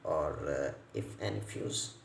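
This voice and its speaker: Male repair technician, non-native English speaker, slow halting demo delivery with gravelly low end, echoey indoor recording with faint noise. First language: not English. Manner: halting, piecemeal phrasing, repeats words. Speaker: electronics repair technician giving a tutorial. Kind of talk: unscripted hands-on repair demonstration. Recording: roomy echo, faint background noise.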